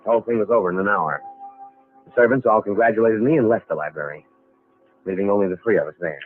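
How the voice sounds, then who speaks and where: A man's voice: fairly low pitch, quick pace, lightly acted. An actor playing a calculating, nervy impostor heir who narrates his crime in an old radio thriller. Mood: anxious, calculating narration. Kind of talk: old-time radio thriller acting and first-person narration. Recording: vintage radio recording, narrow tinny band, music.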